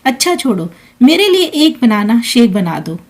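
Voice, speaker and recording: A woman's voice: a little high, sing-song, moderate pace. A woman voicing a demanding, impatient grown son and husband in a family story, with a petulant manner. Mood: irritated.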